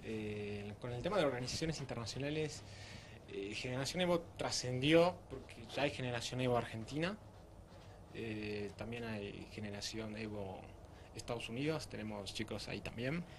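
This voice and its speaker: A man's voice: mid-range voice, moderate pace, a little hiss or hum. A young man answering interview questions in a plain-spoken way with many fillers. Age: young adult. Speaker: interviewee, youth group member. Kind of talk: group interview.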